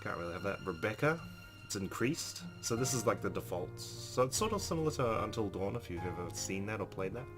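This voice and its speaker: A man's voice: on the low side, big pitch swings, brisk. A man hosting a let's play, casually commenting and reading the game's text aloud. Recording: game music behind the voice.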